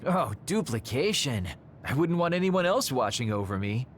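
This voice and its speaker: A man's voice: mid-range voice, very animated, normal speed, a little hiss or hum, natural voice. An actor voicing a sly, flippant young male assassin in an anime game dub, theatrical and mock-offended. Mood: smooth, teasing. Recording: music behind the voice.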